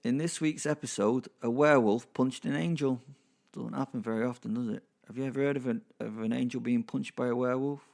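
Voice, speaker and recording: A man's voice: medium pitch, steady tone, brisk, vocal fry, darkish tone. A man narrating a dramatic story in the first person, conversational and wry, with rambling, chatty asides. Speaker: narrator and host.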